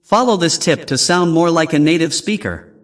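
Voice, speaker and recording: Lively, slightly high male lesson voice. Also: slight background noise. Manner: slow, clear, announcer-like prompts. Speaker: English teacher host. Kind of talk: scripted lesson narration.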